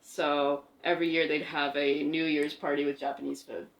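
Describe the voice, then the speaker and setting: An American woman co-hosting a casual podcast, playful and joking, quick to laugh. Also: deep voice, little pitch movement, gravelly, low-quality recording. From United States. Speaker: podcast co-host. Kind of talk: casual chat.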